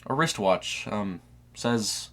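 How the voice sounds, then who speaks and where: A gentle, low-key brother in an audio story, acted by a male narrator. He talks plainly and softly consoles, with an understated curiosity in an everyday male voice. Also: expressive, slow pace. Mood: curious.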